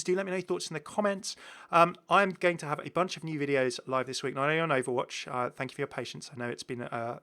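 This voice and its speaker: A man with a bright, forward voice presents a casual gaming showcase. He is chatty and enthusiastic, reacting out loud and exclaiming as he goes, in the easy style of an online channel host. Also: fairly high pitch. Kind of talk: casual gameplay showcase commentary.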